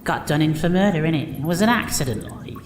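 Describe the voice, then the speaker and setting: Man as matey British jailbird, slangy, lively pitch, unrepentant, echoey, faint noise, fantasy comedy drama. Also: fast talker, natural voice. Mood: nonchalant. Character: cheeky, unrepentant jailed bar owner.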